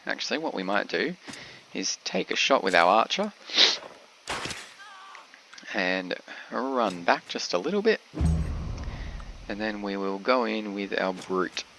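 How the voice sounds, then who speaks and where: Congested man with a gravelly low voice. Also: a little hiss or hum, low-quality recording. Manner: relaxed, explains as he plays, frequent "uh" pauses. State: has a cold, slightly congested. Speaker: gameplay commentator. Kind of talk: casual game commentary.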